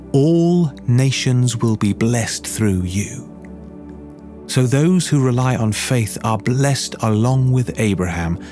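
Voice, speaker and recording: A man reading scripture from a script in a measured, deliberate manner. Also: fairly low pitch, darkish tone. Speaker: scripture reader.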